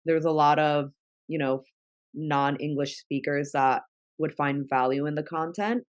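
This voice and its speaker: A woman's voice: low voice, fairly even intonation, unhurried, no room sound, highs cut off. Chatty young woman, dry close sound. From New York. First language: Spanish. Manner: chatty, lots of "like" fillers. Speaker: guest, travel content creator. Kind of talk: casual interview talk.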